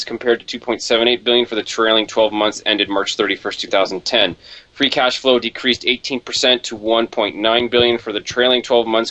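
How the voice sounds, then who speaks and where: A man's voice: mid-range voice, bright, forceful tone. A man reading a financial earnings report aloud over dull call audio.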